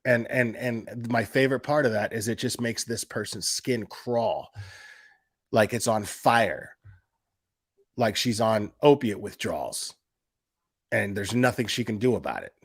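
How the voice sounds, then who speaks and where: A man's voice: lowish voice, a little slow, breathy edge, no room sound. Sarcastic man with a close, dry sound. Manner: sarcastic, mocking, emphatic repetition; profane. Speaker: host of a commentary stream. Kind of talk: casual reaction commentary.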